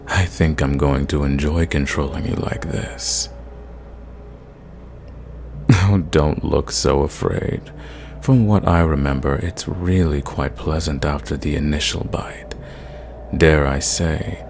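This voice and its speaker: A man voicing a centuries-old sadistic vampire in an ASMR roleplay, possessive and condescending, savoring his words and sometimes dropping to a breathy whisper. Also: darkish tone, slight background noise, full character voice. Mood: taunting, hungry. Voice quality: deep, gravelly.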